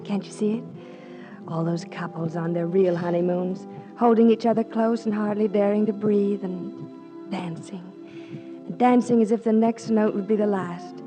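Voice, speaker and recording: An actress playing a feisty, romantic, quick-witted young woman in a 1940s radio comedy, with theatrical hesitations. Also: medium pitch, steady tone, lightly acted. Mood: tender, yearning. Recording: vintage 1940s radio recording, faint music.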